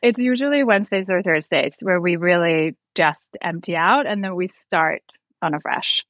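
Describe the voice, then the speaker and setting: A woman's voice: on the low side, normal speed, a bit bright, no echo. Woman from north iceland, forties, candid, reflective, icelandic native, dry close sound. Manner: candid, reflective, trailing restarts. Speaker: guest. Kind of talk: casual interview.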